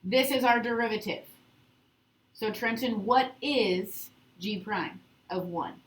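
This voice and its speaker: A woman teaching high school calculus to a class, instructive, often checking understanding with short questions and prompts. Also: takes it slowly. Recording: echoey classroom, distant mic, faint noise.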